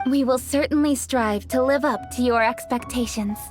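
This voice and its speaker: A woman's voice: on the high side, moderate pace, soft background music, lightly acted. A woman playing a reliable, dutiful young city official in a video game, speaking in a polite, composed, businesslike way. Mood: earnest, assured. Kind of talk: scripted video game character dialogue.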